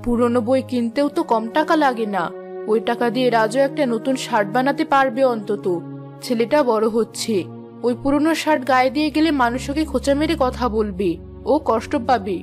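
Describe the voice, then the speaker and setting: A woman's voice: a little high, brisk, music in the background, pitched below the performer's own voice, natural voice. A woman voicing a weary, struggling mother in a cartoon, plaintive and worn down. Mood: weary, firm. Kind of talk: cartoon voice acting.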